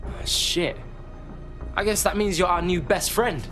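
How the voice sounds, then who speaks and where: An actor playing a brash, friendly young hacker with a London accent and slangy, sweary talk in a video game. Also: male voice, high voice, measured pace, a bit bright, soft background music. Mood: brash, matey. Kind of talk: dramatic game cutscene acting.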